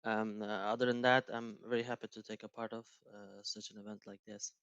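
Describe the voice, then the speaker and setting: Brief: man, smooth resonant voice, dry and slightly dull webinar audio, polite intro. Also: lowish voice, little pitch movement, fairly quick, fairly bright tone, dry recording. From Turkey. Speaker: co-presenter. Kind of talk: self-introduction.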